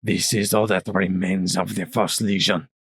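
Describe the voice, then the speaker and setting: A man voicing a weary, grim male zebra soldier who speaks slowly and gravely in a husky voice. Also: deep voice, little pitch movement, a bit bright, dry recording, clean studio sound, voice pushed down, heavily acted. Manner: slow, grave, measured.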